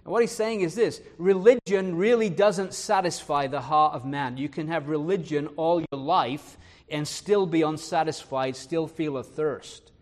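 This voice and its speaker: A man preaching a sermon in an English accent from Leeds, mixed with Irish and American traces. He reads scripture slowly and with weight, and often pauses on "uh" and "um". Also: a little high, darkish tone. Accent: Leeds English, touched by Irish and American. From northern England. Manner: earnest, fills pauses with "uh" and "um".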